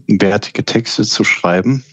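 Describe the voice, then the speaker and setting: A man in a band, talking in a casual interview in a measured, deliberate way with frequent pauses to search for words. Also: lowish voice, fairly bright tone, dry recording. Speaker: band member. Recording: slightly muffled lo-fi recording.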